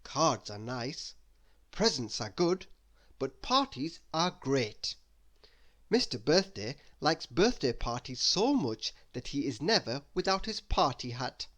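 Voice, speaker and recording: A man reading a children's story aloud as narrator, expressive and deliberate with dramatic emphasis. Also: mid-range voice. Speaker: storybook reader.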